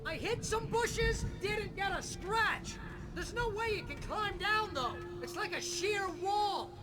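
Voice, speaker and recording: An actor playing an excitable, loyal, blustering male sidekick in a video game, loud, jittery and wisecracking. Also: extremely high pitch, slow pace. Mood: relieved, breathless chatter. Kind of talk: dramatic video game dialogue. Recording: loud music under the voice.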